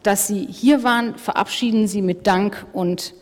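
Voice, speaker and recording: A woman chairs a panel discussion from a conference stage. Her delivery is brisk and wry, and she keeps the talk moving. Her pitch sits in the middle range, and the voice carries the long reverb of a large hall. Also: a little mellow. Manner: brisk, wry, hurries speakers along. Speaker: panel moderator. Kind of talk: moderating a live panel discussion.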